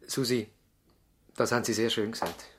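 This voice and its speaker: An actor playing a conscientious country doctor in a 1970s radio drama, a man with a polite, formal and brisk manner. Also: lowish voice, steady tone, background noise, natural voice. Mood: courteous, attentive. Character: conscientious locum country doctor, courteous but uneasy.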